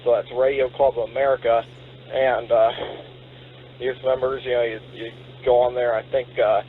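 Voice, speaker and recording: A man's voice: medium pitch, little pitch movement, normal speed. A man making a casual, rambling, hesitant check-in as an amateur radio operator over a radio link. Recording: phone-like radio link with faint hum.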